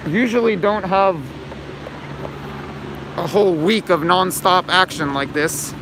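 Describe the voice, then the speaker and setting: Brief: hoarse male street streamer, higher voice, traffic noise behind. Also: takes it slowly. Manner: calm, chatty commentary while walking. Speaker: streaming journalist. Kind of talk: live street livestream narration. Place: city street outdoors. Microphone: handheld phone camera. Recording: loud street noise outdoors.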